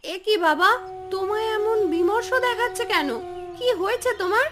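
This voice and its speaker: A woman voicing caring young village sisters in a children's fairy-tale cartoon, with expressive, earnest delivery. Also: very high voice, moderate pace. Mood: worried. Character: two young village sisters, caring, one anxious, one calm. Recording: music behind the voice.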